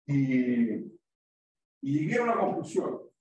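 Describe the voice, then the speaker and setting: A man's voice: mid-range voice, big pitch swings, slow, darkish tone, slight background noise. A man with a Chilean accent, a university math professor chatting informally with his students and tagging his sentences with "¿ya?" and "¿ah?". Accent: Chilean Spanish. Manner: conversational, tags sentences with "¿ya?" and "¿ah?". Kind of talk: informal chat with students during class. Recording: very echoey room, distant mic.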